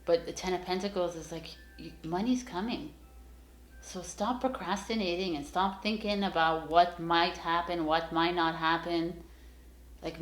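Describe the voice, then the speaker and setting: A woman's voice: lowish voice, echoey room. A woman giving a casual, encouraging tarot reading straight to her viewers, rambling with many fillers and restarts. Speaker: tarot reader.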